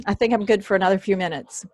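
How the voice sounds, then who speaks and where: A woman presenting an online workshop, hoarse and losing her voice, often clearing her throat and apologizing. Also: mid-range voice, fast talker, no echo. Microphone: computer mic on a video call.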